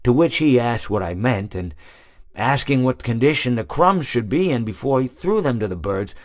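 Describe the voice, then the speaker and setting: A man's voice: mid-range voice, expressive, moderate pace, close to an everyday voice. A man voicing a rambling, long-winded animatronic hippo. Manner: rambling, many restarts, meandering anecdotes.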